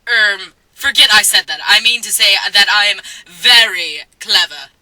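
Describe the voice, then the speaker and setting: A woman voicing a pompous, haughty teenage boy wizard with theatrical, drawn-out delivery in a comic story reading. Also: medium pitch, pushed and bright, dry recording, poor audio.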